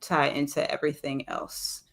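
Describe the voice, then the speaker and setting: A woman's voice: low voice, expressive, moderate pace, vocal fry, slightly rough. A woman answering as a guest in a casual conversation, reflective and searching as she tentatively works out her thoughts. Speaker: poet and professor, guest. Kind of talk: casual, unscripted interview answer.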